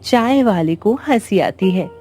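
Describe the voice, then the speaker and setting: Female story narrator for a village moral cartoon, mellow tone, music underneath. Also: mid-range voice, lively intonation, measured pace. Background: background music.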